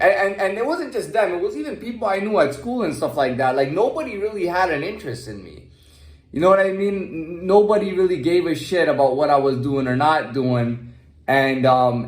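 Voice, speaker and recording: A man talking candidly in a casual, rambling personal monologue, repeating himself and checking that the listener follows. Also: a little high. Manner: candid, repetitive, often checking with "you know what I mean". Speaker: vlogger telling personal stories. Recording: echoey, roomy sound.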